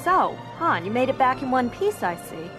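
A commanding young princess, high and lively in pitch. She sounds exasperated, with music clearly behind her, in eighties audio drama style. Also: normal speed. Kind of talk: 1980s audio drama acting.

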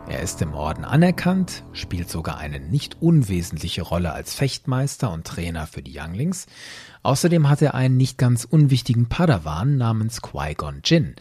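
A man's voice: medium pitch, mellow. A man hosting a podcast, giving scripted, explanatory narration and commentary with rhetorical questions. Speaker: podcast host.

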